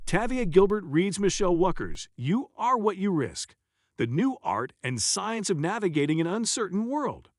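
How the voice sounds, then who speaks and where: A man reading scripted review narration in a formal, measured way. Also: a little high, no echo, clean studio sound. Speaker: narrator of an audiobook ranking video.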